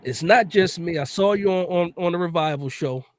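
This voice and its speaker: A man's voice: high-pitched, normal speed, a little hiss or hum. A man hosting a casual sports livestream, laid-back and chatty, often repeating words and tossing in "man.". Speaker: podcast host.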